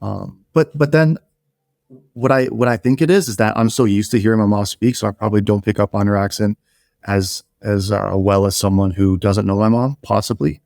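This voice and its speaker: A man's voice: fairly low pitch, steady tone, normal speed, vocal fry, darkish tone, no room sound, clean studio sound. A Canadian-raised man whose first language is Polish, co-hosting a podcast in rambling, casual chat with frequent restarts and casual swearing. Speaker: podcast co-host.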